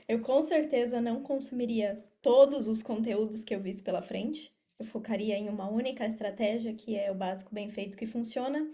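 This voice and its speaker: A woman's voice: a little high, steady tone, normal speed, mellow. A Brazilian woman giving casual, motivational interview answers as a podcast guest, emphatic and encouraging. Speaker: podcast guest, affiliate marketer. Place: remote video call.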